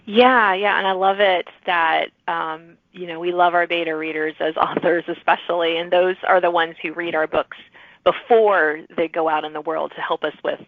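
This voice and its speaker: A woman's voice: lowish voice, fairly even intonation, normal speed, dry recording. A woman hosting a podcast, interviewing guests in a conversational way. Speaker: podcast host interviewing authors. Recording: thin phone-like line.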